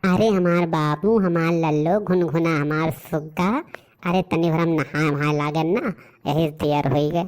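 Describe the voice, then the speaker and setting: A woman's voice: low-pitched, steady tone, unhurried, darkish tone. A woman voicing a lovestruck young village lad in a comic cartoon, with an Awadhi accent, coaxing and pleading with pet names. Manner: wheedling, full of pet names. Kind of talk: comic rustic cartoon dialogue.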